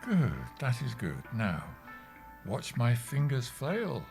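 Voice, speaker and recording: A man's voice: medium pitch, wildly swooping pitch, slow pace, vocal fry, music in the background, full character voice. An actor playing a pompous, earnest older male office worker who dabbles in martial arts and mindfulness, in a theatrical comedy audio drama style. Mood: hypnotic, soothing.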